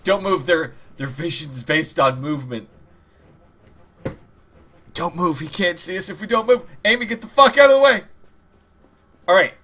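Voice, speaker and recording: Animated, bright-voiced man doing gameplay commentary. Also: high-pitched, moderate pace, pauses often. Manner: rambling, joking, sweary, mock-dramatic asides. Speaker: gaming video host. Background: game music.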